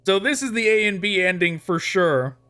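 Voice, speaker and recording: A man's voice: high-pitched, animated, normal speed, bright, forceful tone, smooth. A man giving casual, informal let's play commentary with amused musing as he plays. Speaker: gaming streamer.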